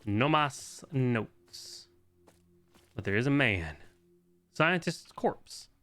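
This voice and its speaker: A man's voice: mid-range voice, animated, extremely slow, fairly bright tone. A man hosting a video game guide, giving casual, informal walkthrough commentary with the odd swear word.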